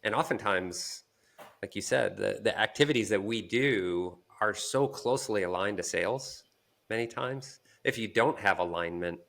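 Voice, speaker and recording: Man, low pitch, bright edge, casual discussion, explanatory, repeats words as he goes. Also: moderate pace, creaky. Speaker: podcast co-host.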